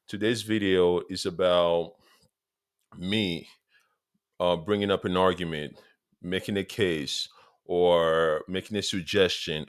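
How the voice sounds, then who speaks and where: A man's voice: deep voice, no echo, studio quality. A man in his thirties from Congo, with a Congolese accent, eagerly talking football straight to his viewers in a casual vlog and repeating points for emphasis. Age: 30s. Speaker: football vlogger.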